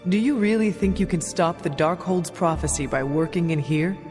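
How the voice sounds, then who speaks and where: A woman's voice: fairly low pitch, normal speed, lightly acted. A woman playing a solemn, earnest warrior in a video game, speaking formally and literally. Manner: formal, literal, few contractions. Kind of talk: video game cutscene acting. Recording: long reverb, music behind.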